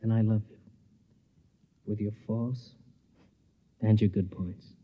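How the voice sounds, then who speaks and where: Earnest, reassuring pilot husband, 1950s radio play, tender manner, slightly muffled lo-fi sound. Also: male voice, lowish voice, steady tone, slow. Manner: gentle, earnest. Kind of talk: 1950s radio drama acting.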